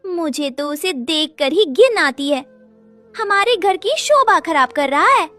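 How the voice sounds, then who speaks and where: A woman's voice: very high voice, animated, a little slow, sharp tone, faint music behind, full character voice. A woman voicing a cruel cartoon stepmother crow with a scolding, contemptuous manner. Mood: disgusted scolding.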